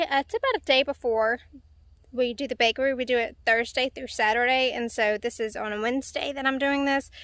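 Young Southern woman, high voice, friendly rambling vlog talk to a phone held at arm's length, dry close sound. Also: fairly bright tone, clear, resonant tone, dry recording. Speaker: vlogger. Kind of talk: casual chat to camera. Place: outdoors by fields, in summer.

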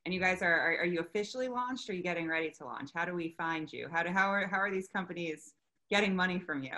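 A woman's voice: lowish voice, sharp tone. A young woman hosting a casual video-call interview from outdoors in a park, upbeat and enthusiastic, often restarting her sentences. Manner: bubbly, enthusiastic, quick restarts. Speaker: interview host. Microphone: video call webcam.

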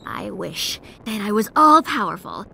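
A woman's voice: mid-range voice, sing-song, measured pace, vocal fry, slight background noise, exaggerated voice. A woman playing a moody, sardonic, bratty demon girl in a cartoon. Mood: bratty fury. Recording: loud music behind the voice.

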